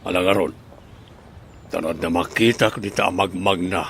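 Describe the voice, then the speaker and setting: Shady kidnapper, very low voice, Ilocano accent, radio drama, faint noisy ambience behind. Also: male voice, normal speed, creaky, a bit bright. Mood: scheming, frustrated. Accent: Filipino, Ilocano. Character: shady male child abductor plotting with an accomplice.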